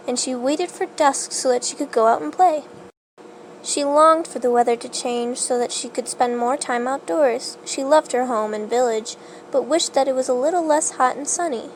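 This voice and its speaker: A woman with a slightly high-pitched voice narrates a children's storybook. She reads it aloud as scripted prose, and faint noise sits in the recording. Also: low-quality recording. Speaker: storybook reader.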